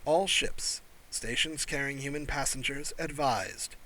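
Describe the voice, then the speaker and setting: Audiobook old male doctor-envoy, performed by a narrator: mild, precise, steely, bright-toned. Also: medium pitch, measured pace, a little hiss or hum, rough recording. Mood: grave, clipped. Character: frail elderly male human doctor-diplomat, mild but steely.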